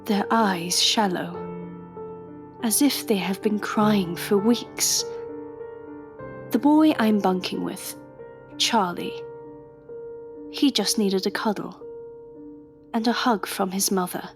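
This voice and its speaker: A woman's voice: mid-range voice, slow pace, pauses often, over music. A woman playing a wry, motherly undercover agent, confiding as narrator of a scripted audio drama. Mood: tender, pained.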